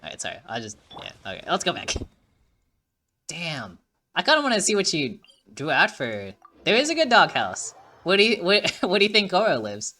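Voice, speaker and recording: A young woman streaming a game, reacting with loud, swearing, jokey casual commentary. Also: fairly low pitch, wildly swooping pitch, moderate pace.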